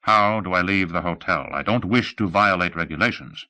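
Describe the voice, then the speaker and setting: A man's voice: sits low, normal speed, bright, forceful tone, no room sound. A man voicing a small, cocky, still-growing photojournalist in an audiobook, with a dry, terse manner. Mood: dry, businesslike.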